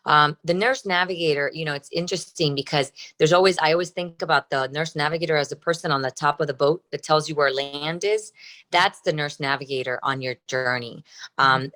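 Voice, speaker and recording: Low-voiced Cuban-born woman surgeon. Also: a bit bright. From Cuba. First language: Spanish. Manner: earnest, explains with journey and boat analogies. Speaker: breast surgeon. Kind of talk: interview answers.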